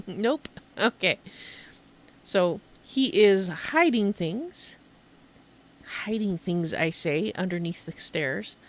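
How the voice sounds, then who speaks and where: A woman's voice: medium pitch, expressive, slow, long pauses. A woman streamer giving casual video game commentary, rambling and thinking aloud as she goes.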